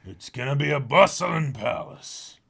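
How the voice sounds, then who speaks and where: A man as gruff old cook, raised rough voice, teasing and brash, manga reading. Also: medium pitch, animated, a little slow, a bit bright, voice pushed up, full character voice.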